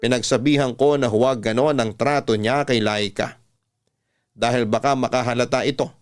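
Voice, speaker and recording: A man's voice: mid-range voice, steady tone, moderate pace, faint music behind. A man narrating a scripted first-person love story in the style of a classic radio drama.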